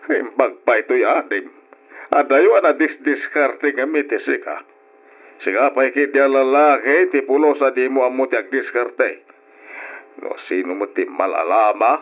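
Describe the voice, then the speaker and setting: An actor with a northern Philippine accent playing a gruff, sarcastic middle-aged father in a radio drama. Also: medium pitch, a little slow, natural voice. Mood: doting then dismissive. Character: stern, scornful middle-aged father belittling his son. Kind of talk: Ilocano radio drama acting. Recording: dull lo-fi old radio.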